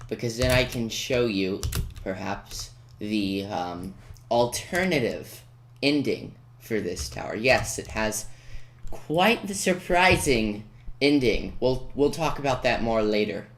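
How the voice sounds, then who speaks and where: A man's voice: mid-range voice, expressive, takes it slowly, a bit bright. Man giving casual game walkthrough, echoey room. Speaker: video creator giving game walkthrough commentary. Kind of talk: casual gameplay tutorial commentary. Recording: roomy echo, faint noise with key clicks. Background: computer keyboard typing and clicks.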